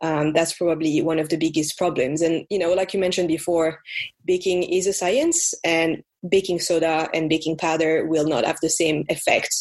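French lady guesting on a baking podcast, husky, lowish voice, lots of ums. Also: normal speed. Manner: relaxed, frequent "um" fillers. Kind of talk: casual podcast interview.

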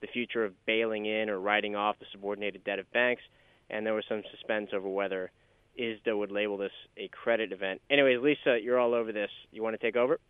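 Man, podcast host, upbeat, teasing, smooth voice, tinny phone-like sound. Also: fairly low pitch, steady tone, fast, dry recording. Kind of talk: casual podcast hosting.

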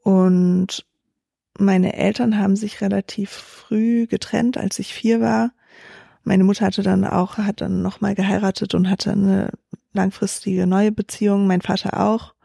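Junge Frau mit knarziger, dunkler Stimme. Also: female voice, medium pitch, flat delivery, measured pace, vocal fry, warm tone, husky, no room sound, clean studio sound. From Germany. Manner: thoughtful, many fillers and restarts. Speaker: startup founder, guest. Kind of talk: reflective interview answers.

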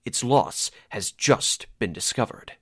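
A man performing in a deliberate 1940s radio drama style. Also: fairly low pitch, animated, fairly bright tone, dry recording, clean studio sound, exaggerated voice.

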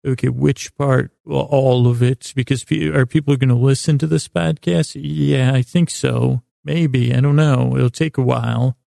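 A man hosting a sleep podcast, telling stories in a casual, meandering way with a gravelly voice, often halting and trailing off mid-thought. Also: mid-range voice, steady tone, mellow, no room sound, clean studio sound. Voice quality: gravelly, creaky voice. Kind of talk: rambling casual bedtime storytelling monologue.

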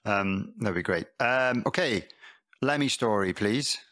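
A man, a podcast host firing off quick questions in a brisk, friendly way. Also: fairly low pitch, lively intonation, fairly bright tone. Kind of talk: quick-fire interview questions.

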